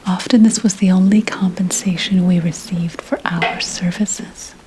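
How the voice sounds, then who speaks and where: Slow whispering witch, acted by a woman. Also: fairly low pitch, fairly even intonation, background noise, close to an everyday voice. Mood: solemn, wounded pride. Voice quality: breathy unvoiced whisper. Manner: deliberate, hushed, archly formal phrasing. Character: reincarnated 17th-century witch healer, proud, secretive, faintly bitter. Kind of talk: ASMR roleplay, slow whispered storytelling.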